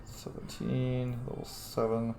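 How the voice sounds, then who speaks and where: A man's voice: medium pitch, completely flat delivery, takes it slowly, creaky, mellow, slight background noise. A man giving casual let's-play commentary, thinking aloud in an informal, sweary way. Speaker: gaming streamer. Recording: roomy echo, faint game music. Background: game music.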